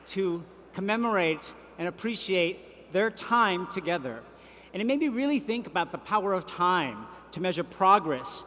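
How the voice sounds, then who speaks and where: A man with a high voice delivers a prepared keynote from a conference stage, telling his story at a measured pace with deliberate pauses. His voice rings out through a large hall with a long reverb tail. A faint hum of room ambience sits behind him. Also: fairly bright tone. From Vietnam.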